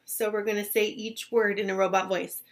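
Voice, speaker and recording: A woman in her thirties, a teacher, explains simply and deliberately to young children, putting questions to the listener. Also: medium pitch, little pitch movement. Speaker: elementary teacher. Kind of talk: instructional talk to young children. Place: small furnished room at home.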